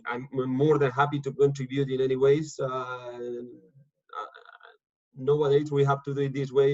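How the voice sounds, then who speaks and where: A man talks on a video call from his home, stumbling over his words and restarting often. His voice stays level in pitch and tone. The call audio sounds dull and lo-fi. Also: darkish tone. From Argentina. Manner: halting, many repeated words and fillers. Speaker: guest speaker. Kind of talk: casual talk on a video call.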